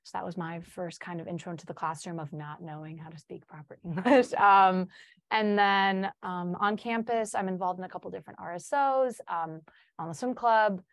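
A woman's voice: fairly low pitch, normal speed, dry recording. A woman in her early twenties from Chicago, chatting informally and upbeat as an interview guest. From Chicago, United States. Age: early 20s. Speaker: podcast guest, student teacher in training. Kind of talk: casual interview chat.

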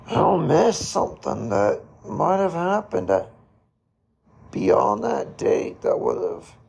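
Hoarse, gravelly man talking slowly. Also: fairly high pitch, darkish tone. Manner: slow, halting, pausing to reflect. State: hoarse, raspy voice. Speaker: podcast host. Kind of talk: solo reflective podcast monologue.

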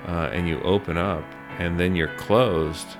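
Deep-voiced earnest American man over music. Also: fairly even intonation, measured pace. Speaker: small business owner being interviewed. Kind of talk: conversational storytelling interview.

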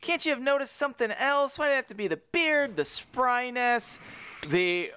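A man co-hosting a casual podcast chat, animated and jokey, his pitch jumping high and low as he riffs. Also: moderate pace, clean tone, dry recording, studio quality. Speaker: podcast co-host.

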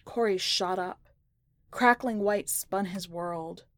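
A woman's voice: on the low side, slow pace, no echo, clean studio sound. A woman reading a short story aloud as scripted, dramatic narration.

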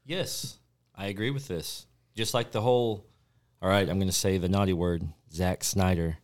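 A man in his thirties on a friends' podcast, making dry, deadpan jokes and pressing his argument insistently. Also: low voice, normal speed. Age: 30s. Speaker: podcast co-host. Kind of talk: casual chat among friends.

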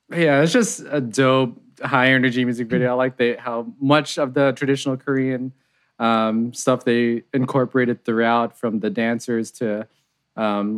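A man in easygoing conversation, voice in a middle pitch. He talks loosely, with frequent fillers and light laughter. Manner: relaxed, laughs often, many fillers. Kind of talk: casual reaction chat.

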